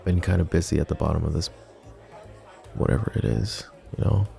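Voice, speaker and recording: A man playing a gravelly, lovesick mafia boss. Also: a bass voice, animated, brisk, soft background music, some chatter behind, exaggerated voice. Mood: flustered, teasing. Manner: soft, intimate, drops to whispers; wry, self-mocking humor. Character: lovesick brooding young male mafia boss. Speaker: voice actor. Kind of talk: ASMR roleplay.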